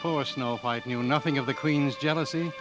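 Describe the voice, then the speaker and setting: An actor playing a storybook narrator, telling a fairy tale in a measured, dramatic 1940s radio style. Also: male voice, mid-range voice, natural voice. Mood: storytelling. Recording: old radio, music behind.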